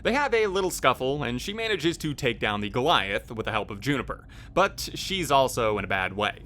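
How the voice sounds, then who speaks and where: Bright, lively man narrating a video essay. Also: medium pitch, a little fast, slight background noise. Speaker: host, game reviewer. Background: faint music.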